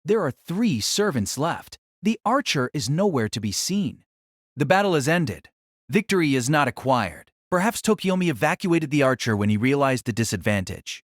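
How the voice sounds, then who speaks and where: Man narrating a dark fantasy audio drama. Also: fairly high pitch, sing-song, normal speed, no room sound, studio quality.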